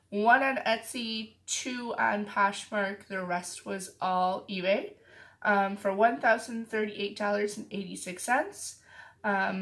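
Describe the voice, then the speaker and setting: A woman who is getting over a cold, with a raspy voice, chatting casually to her viewers about her weekly sales and filling her talk with frequent ums. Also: medium pitch, fairly bright tone. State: sick, with a cough. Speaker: reseller vlogger. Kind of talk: casual chat to camera.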